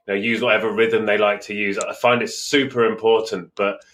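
A man's voice: on the low side, little pitch movement, a little fast, fairly bright tone. A man who teaches languages, talking casually in an interview. He speaks with emphasis and fills his talk with "you know" and "like". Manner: agreeable, emphatic, many fillers.

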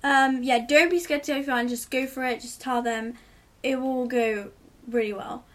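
A woman's voice: sits high, fairly even intonation, moderate pace, distant mic. Giggly teen girl, a bit off-mic. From Italy. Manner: chatty, giggly, rambling advice. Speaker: guest. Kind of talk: casual chat.

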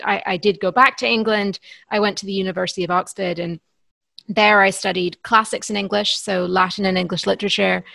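A woman's voice: mid-range voice, vocal fry, bright, forceful tone, no room sound. A woman in her thirties, an author from Northern Ireland, answering interview questions in an articulate, earnest way with small restarts. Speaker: author guest. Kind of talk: podcast interview.

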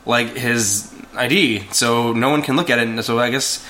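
A man's voice: medium pitch, moderate pace, pushed and bright. A man co-hosting a casual movie chat, rambling with lots of fillers and restarts. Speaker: podcast co-host.

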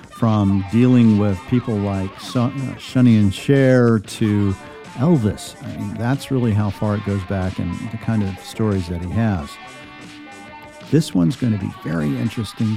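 A man's voice: on the low side, gravelly, warm tone. A man hosting a podcast interview, chatty and teasing his guest in a friendly way, often restarting his sentences as he rambles. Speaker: podcast host and gallery owner.

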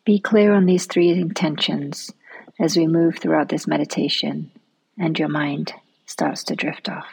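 A woman leading a guided relaxation meditation in a soft, soothing manner, often trailing off into a near-whisper. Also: sits low, fairly even intonation, a little slow, gravelly, slightly rough, low-quality recording.

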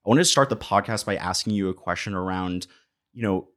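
A man's voice: low-pitched, brisk. A man hosting a podcast interview, asking curious, rambling questions full of fillers. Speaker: podcast host.

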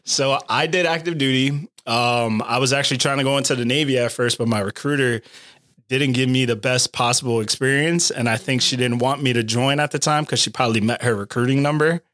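A man's voice: mid-range voice, fairly quick, fairly bright tone, dry recording. A man in his thirties, a podcast co-host and former Marine, talking casually and laughing often. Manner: laid-back, laughs often, motivational asides. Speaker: podcast co-host, Guard soldier.

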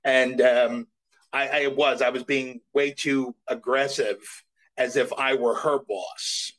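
A man's voice: medium pitch, fairly even intonation, takes it slowly, a bit bright, poor audio. A middle-aged man as an interview guest, talking earnestly and self-deprecatingly with many restarts. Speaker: voice actor guest. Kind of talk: casual interview chat.

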